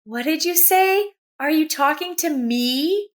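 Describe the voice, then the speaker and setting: A woman's voice: sits high, animated, slow, voice pushed up. A woman narrator voicing a wary, despairing young woman in a story, plaintive and questioning.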